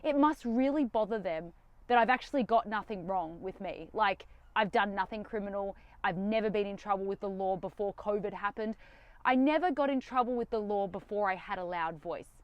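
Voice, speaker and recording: A woman's voice: mid-range voice, a little fast, no room sound, dull audio. Defiant young woman, dry close sound. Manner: defiant, animated, sarcastic. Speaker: vlogger. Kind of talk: casual direct-to-camera talk. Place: inside a van.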